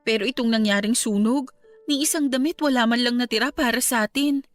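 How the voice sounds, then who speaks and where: A woman's voice: on the high side, fairly quick, lightly acted. An actress playing a young woman grieving her grandparents in a radio drama, earnest and polite. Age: young adult. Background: faint background music.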